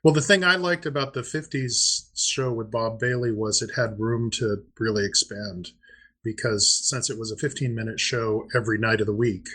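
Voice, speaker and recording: Husky man in his fifties. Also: mid-range voice, steady tone, moderate pace, bright, forceful tone. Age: 50s. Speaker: podcast co-host. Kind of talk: casual chat about old-time radio.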